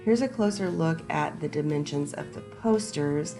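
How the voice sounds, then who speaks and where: A woman narrating a casual shopping vlog, hoarse and raspy from a sinus infection, chatty and enthusiastic as she talks to her viewers. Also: low voice, normal speed, over music. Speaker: shopping vlogger. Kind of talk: casual shop-with-me voice-over.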